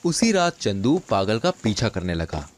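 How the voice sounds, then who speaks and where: Animated low-voiced male storyteller. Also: unhurried, slight background noise. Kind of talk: scripted narration of children's cartoon folk tales.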